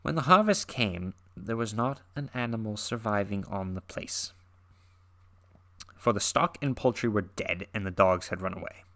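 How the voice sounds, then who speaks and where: Man, lowish voice, dramatic horror story reading, theatrical swings in volume and pace, occasional self-corrections. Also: lively intonation, moderate pace. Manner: theatrical, shifting loudness and pace for suspense. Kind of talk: dramatic read-through of classic horror fiction.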